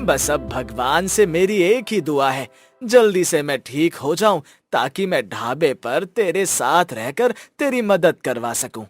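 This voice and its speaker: Proud, loving village father, acted by a man, middle-aged, swinging intonation, slightly high voice, storybook. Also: sing-song, measured pace, no room sound, faint music behind. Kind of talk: Hindi children's moral story dubbing.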